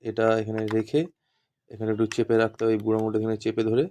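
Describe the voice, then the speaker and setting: A man's voice: fairly low pitch, monotone, racing, dark tone. A man with a very level, unanimated voice gives an informal practical demonstration. He goes step by step and often repeats himself. The recording has noticeable noise and small clicks. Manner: repetitive step-by-step explaining. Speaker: instructor demonstrating walkie-talkies. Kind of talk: informal hands-on tutorial.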